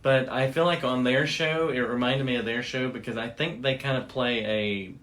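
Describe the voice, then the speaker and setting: A man with a mid-pitched voice hosts a casual conversation. He explains his subject with enthusiasm, speaking informally as if to a friend across from him. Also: steady tone, normal speed, fairly bright tone. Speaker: podcast host. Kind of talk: casual chat about radio history.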